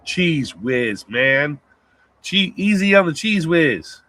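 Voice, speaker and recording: Gruff-voiced laid-back male co-host. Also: on the high side, sing-song, slow pace, bright, forceful tone, dry recording. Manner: loose and laid-back, swears casually, laughs mid-sentence. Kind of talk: casual live-stream chat. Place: small home sound booth.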